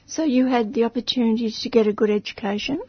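A woman's voice: fairly high pitch, fairly even intonation, fast talker, husky, no room sound, lo-fi sound. A woman presenting a community radio interview, asking short prompting questions.

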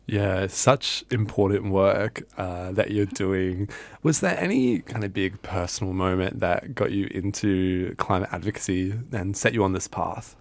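A man hosting a radio interview. He asks questions gently and backs up his guest with quiet "mm"s and warm agreement. His voice is low, with a noticeable gravelly fry. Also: a little slow, no echo. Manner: gentle, affirming questions with soft "mm"s.

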